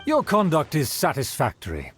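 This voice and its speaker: A man's voice: on the high side, huge pitch swings, moderate pace, a bit bright, soft background music. An actor playing a pompous, condescending nobleman in a video game, speaking in florid, formal phrases. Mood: approving, condescending. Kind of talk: video game character acting.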